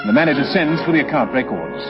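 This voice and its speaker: A man narrating a radio crime drama in a measured, announcing way. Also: mid-range voice, big pitch swings. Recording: dull vintage radio recording. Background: loud music.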